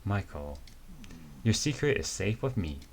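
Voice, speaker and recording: A man voicing a big, friendly, protective male fighter in an amateur comic reading, with a warm, reassuring delivery. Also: on the low side, big pitch swings, unhurried, exaggerated voice.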